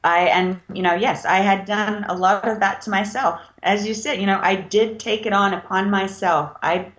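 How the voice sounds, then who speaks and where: A woman's voice: mid-range voice, steady tone, normal speed, fairly bright tone. A woman author speaking as an interview guest, reflective and hesitant, with many fillers. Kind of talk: casual interview chat. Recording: slightly dull lo-fi sound.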